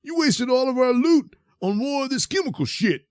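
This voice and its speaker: A man's voice: very high-pitched, lively intonation, normal speed, dry recording, clean studio sound, pitched above the performer's own voice. A man voicing a crude, whiny henchman in a comic audio play. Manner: whiny, incredulous. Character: crude adult male henchman, whiny and high. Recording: noisy home recording.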